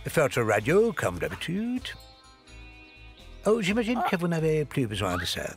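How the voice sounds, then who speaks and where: An actor playing a fussy, polite spy gadget master in a French cartoon, with a formal, jovial manner. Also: male voice, on the high side, wildly swooping pitch, measured pace. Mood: brisk, jovial. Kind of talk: French cartoon dub acting. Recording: music behind the voice.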